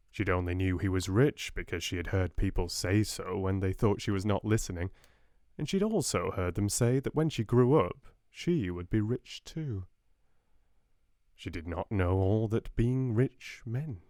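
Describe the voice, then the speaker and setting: A soft-spoken man with a British accent, narrating an audiobook in a measured, restful bedtime style. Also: lowish voice, no echo, studio quality.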